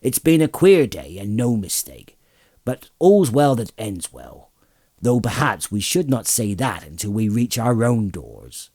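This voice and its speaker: A man's voice: lowish voice, very animated, measured pace, mellow, husky, no room sound, clean studio sound, exaggerated voice. A man voicing a blunt, hearty old farmer with a rustic, homely way of talking in a storybook reading. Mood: hearty, relieved. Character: gruff, plain-spoken, hearty old country farmer. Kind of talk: audiobook character voice.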